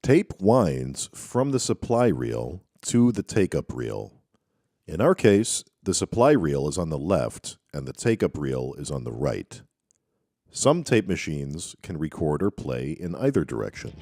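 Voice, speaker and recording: A man's voice: rumbling low, big pitch swings, unhurried, mellow, no echo, studio quality. A man presenting an instructional video in scripted narration.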